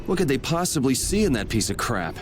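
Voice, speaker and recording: A man's voice: medium pitch, very animated, brisk, noisy background, close to an everyday voice. An actor playing a blunt, cool young swordsman in a game, over background music.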